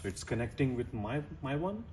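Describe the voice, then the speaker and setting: A man presenting a step-by-step how-to demonstration as a technician, with plain, halting instructional phrasing. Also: mid-range voice, very animated, darkish tone, slight background noise.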